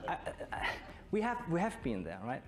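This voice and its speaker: A man's voice: fairly high pitch, huge pitch swings, normal speed. A middle-aged man with a German accent giving an informal conference talk, often putting questions to his listeners. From born in Belgium, studied in Germany, long in Brazil. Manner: conversational, engaging the audience with questions. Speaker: Scrum trainer and conference speaker.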